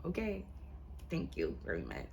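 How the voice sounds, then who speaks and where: A woman's voice: low voice, huge pitch swings, normal speed, creaky, darkish tone, slight background noise. A woman in her twenties talking casually to camera, rambling, going off topic, swearing and laughing often. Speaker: vlogger.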